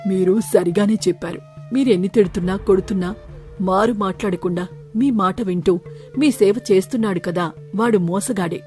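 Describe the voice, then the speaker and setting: A woman's voice: mid-range voice, moderate pace, darkish tone, faint music behind, lightly acted. A woman voicing a principled male village doctor in a storybook tale, speaking in a pointed, reproving way. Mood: sarcastic reproach. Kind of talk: storybook character acting.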